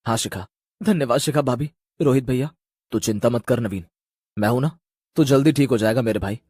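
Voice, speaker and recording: Man acting a husky, earnest village man. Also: mid-range voice, a little fast, a little mellow, no room sound, clean studio sound, natural voice. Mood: reassuring. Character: worried, caring village man.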